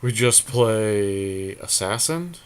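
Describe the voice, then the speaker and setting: A man co-hosting casual gameplay commentary with a friend, thinking his moves out loud in slangy speech. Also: on the low side, lively intonation, slow, clean tone. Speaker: podcast co-host playing an online card game.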